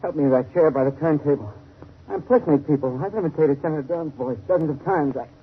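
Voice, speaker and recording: An actor playing a desperate, dying man with halting, labored speech in 1930s radio drama style, on an old recording. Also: a little high, narrow, tinny audio, a little hiss or hum, close to an everyday voice. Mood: weak, desperate. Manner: halting, labored, gasping delivery.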